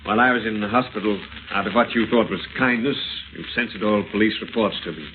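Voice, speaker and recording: An actor playing a grief-stricken, vengeful husband with intense, deliberate delivery in old radio melodrama style. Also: male voice, lowish voice, close to an everyday voice. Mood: grimly insistent. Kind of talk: 1930s-40s radio acting, melodrama. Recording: noisy, scratchy, tinny old-time radio recording.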